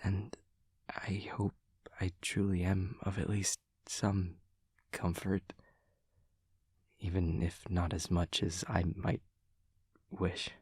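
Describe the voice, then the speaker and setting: An awkward, earnest demon classmate acted by a man speaks in a low, husky half-whisper, close and dry. He stammers hesitantly and phrases things with stiff, literal formality, anxious to comfort in an intimate audio roleplay. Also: flat delivery, takes it slowly, pauses often, dry recording, exaggerated voice. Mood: unsure, tender. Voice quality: soft, breathy, husky.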